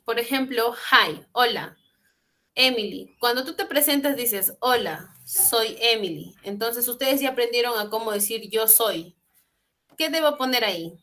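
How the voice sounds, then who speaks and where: A woman's voice: a little high, animated, a little slow, bright, forceful tone. A young woman teaching English in an online class, explaining exercises patiently and switching between Spanish and English, heard through a laptop on a video call from a small room at home. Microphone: laptop webcam on a video call.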